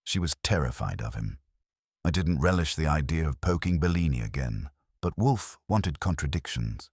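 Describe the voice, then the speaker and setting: A man's voice: a bass voice, animated, normal speed, darkish tone, slightly rough, dry recording, clean studio sound. A man narrating a scripted first-person detective story in a wry, hard-boiled way.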